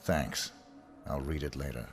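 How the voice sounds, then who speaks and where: A man's voice: extremely low pitch, fairly even intonation, a little slow, faint music behind. An actor playing a cold, laconic hitman in a video game cutscene, speaking in a terse, unemotional manner. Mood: cold, businesslike. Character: cold, laconic professional male assassin.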